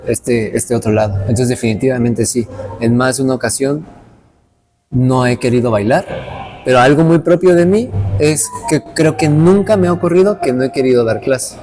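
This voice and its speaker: Earnest young Mexican dance teacher. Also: male voice, medium pitch, darkish tone, a little hiss or hum. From Veracruz, Mexico. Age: late 20s. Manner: reflective, earnest, with frequent restarts. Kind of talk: casual interview conversation.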